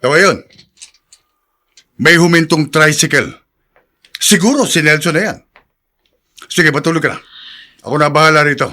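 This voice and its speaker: A man's voice: a little high, moderate pace, long pauses, pushed and bright. An actor playing a stern, hot-tempered middle-aged father in Filipino radio drama, domineering and scolding. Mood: indignant, stern.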